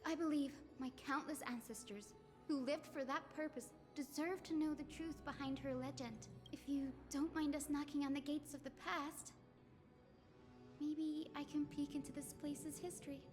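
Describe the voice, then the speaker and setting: An actress playing a gentle, polite and determined young girl in a video game, speaking earnestly and formally. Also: high-pitched, steady tone, moderate pace, in a large hall, natural voice. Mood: earnest pleading. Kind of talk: scripted game drama. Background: game music.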